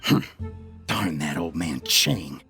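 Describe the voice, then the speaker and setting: A man's voice: extremely low pitch, slow pace, gravelly, sharp tone, faint music behind, exaggerated voice. An actor playing an obsequious, scheming man of the gentry in a video game, with an ingratiating manner. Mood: resentful grumbling. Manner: ingratiating, servile.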